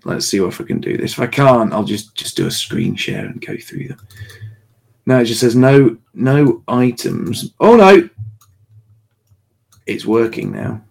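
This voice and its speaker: A man with a slightly hoarse, tired voice chatting casually and haltingly as the host of a livestream. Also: lowish voice, a little mellow. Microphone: livestream call microphone.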